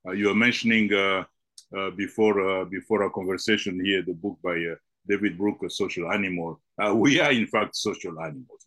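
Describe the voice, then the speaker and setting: A middle-aged man from the Congo, a podcast guest answering with many halting fillers and repeated words. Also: low voice, a bit bright, slightly rough, dry recording.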